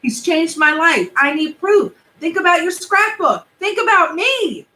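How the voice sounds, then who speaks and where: A woman voicing an excitable young boy who is urgent and insistent in a storybook reading. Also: very high-pitched, a little slow, voice pushed up, full character voice, low-quality recording. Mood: pleading.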